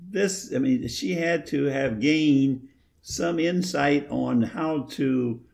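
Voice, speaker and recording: A mature man offering his thoughts in a casual chat. He speaks slowly and haltingly, repeating words, with a creaky, gravelly edge to his voice. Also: medium pitch, a little mellow. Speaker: panel guest giving opinions.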